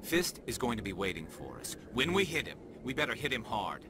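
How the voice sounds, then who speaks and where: A man's voice: mid-range voice, big pitch swings, fairly quick. An actor voicing a driven male alien cop in a video game, earnest and emphatic. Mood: determined. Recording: music behind the voice.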